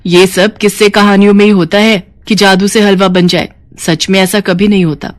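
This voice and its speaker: Lady storyteller reading a bedtime tale, medium pitch, scripted. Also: fairly even intonation, normal speed, no echo, clean studio sound. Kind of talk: scripted children's story narration.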